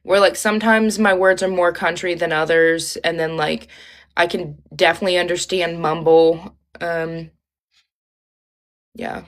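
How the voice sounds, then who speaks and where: A woman's voice: lowish voice, fairly even intonation, measured pace. Chatty woman with an Ohio accent. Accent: Ohio Midwestern. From Ohio, USA. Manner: rambling, chatty, many ums and likes. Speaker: livestream host. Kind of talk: casual livestream chat.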